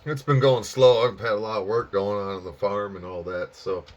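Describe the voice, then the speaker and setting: A man's voice: lowish voice, fairly even intonation, moderate pace, lo-fi sound. A man chatting casually as an amateur radio operator, with an easygoing, folksy manner. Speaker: amateur radio operator and hobby video maker. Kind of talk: casual chat over ham radio.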